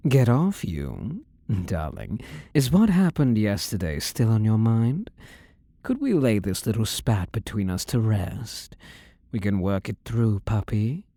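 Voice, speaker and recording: Casting: male actor as controlling demon-possessed boyfriend for audio roleplay; low, dark mellow voice, menacingly tender and condescending. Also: sing-song, no echo, clean studio sound, heavily acted. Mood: teasing, coaxing. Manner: slow, teasing, coaxing endearments, condescending. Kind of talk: scripted roleplay audio drama.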